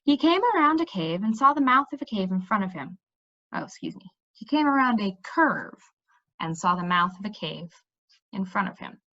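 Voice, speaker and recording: Female storybook reader, bright and very lively in pitch, expressive delivery, slightly dull recording. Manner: animated, pausing to check in with listeners. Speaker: reader reading a children's book aloud. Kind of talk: expressive read-aloud storytelling.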